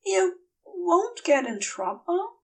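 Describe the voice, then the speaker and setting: Woman doing a gentle dazed angel guy, high soft pleading voice, slow and halting, bit far from mic. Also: very animated, heavily acted. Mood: anxious.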